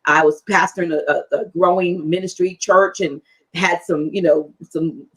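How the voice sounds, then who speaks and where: A woman hosting an interview talk show, speaking haltingly and repeating words as she builds her point. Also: fairly low pitch, fairly even intonation, slightly rough, poor audio.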